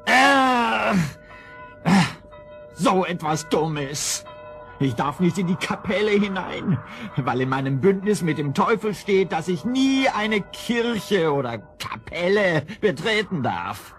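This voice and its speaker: A blustering old sorcerer in a children's radio play, high-pitched and swooping. He drags his words out theatrically, vengeful and gloating, on a noisy vintage record. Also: sing-song, fairly bright tone, soft background music, heavily acted. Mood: vexed. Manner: theatrical, exclaiming, drawn-out cackling.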